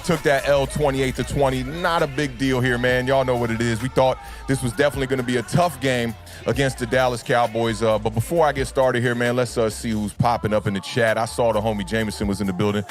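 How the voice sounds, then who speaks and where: A young adult man with a deep baritone voice, under the weather, hosting a casual sports livestream and chatting with fans. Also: fairly even intonation, fast, soft background music. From United States. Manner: laid-back, repeats "man" and "y'all know what it is". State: under the weather, deeper voice than usual. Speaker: sports talk livestream host.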